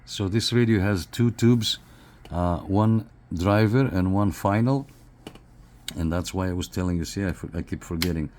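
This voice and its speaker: Low-voiced man, halting and casual. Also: noisy background. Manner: halting, repeats phrases. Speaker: radio reviewer and CB operator. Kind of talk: casual hands-on review, unscripted.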